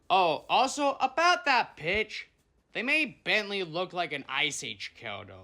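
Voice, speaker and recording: A video host delivers casual, opinionated commentary in a bright, forceful voice. The pitch moves around in a lively way, and the wording is loose and sweary.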